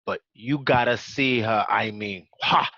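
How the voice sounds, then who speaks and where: A man playing a brash, foul-mouthed, excitable young gangster in an amateur radio play. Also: lowish voice, a little slow, gravelly, no echo, full character voice. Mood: pestering, indignant. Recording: muffled, dry home recording.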